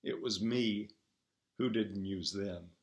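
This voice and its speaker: A man's voice: fairly low pitch, takes it slowly, voice pushed down. A man voicing a regretful, thrifty elderly woman in a storybook reading, wistful and subdued.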